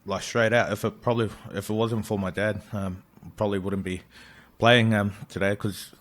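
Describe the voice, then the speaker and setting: A young man from New Zealand, a rugby league player talking as a guest, soft-spoken and hesitant with many pauses. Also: fairly low pitch, level tone. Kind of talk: casual interview chat.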